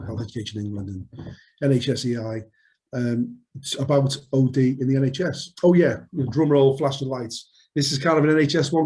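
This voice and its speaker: Mellow middle-aged Scouse man. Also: mid-range voice. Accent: Liverpool (Scouse). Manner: jokey, rambling, frequent restarts. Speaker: podcast co-host. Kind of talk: unscripted podcast chat.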